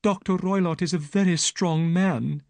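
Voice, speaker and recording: Frightened young woman, high and pleading, read by a man. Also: fairly even intonation, normal speed, gravelly, a little mellow, no echo. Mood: fearful. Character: frightened young woman telling her story.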